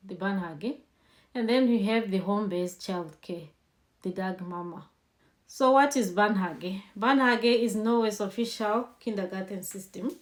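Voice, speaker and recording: A woman talks straight to her viewers in a relaxed explainer lesson. Her pitch sits in a middle range, and she moves through points step by step in an unhurried, conversational way, adding friendly practical tips. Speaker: host and language teacher. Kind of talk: informal explainer lesson to camera.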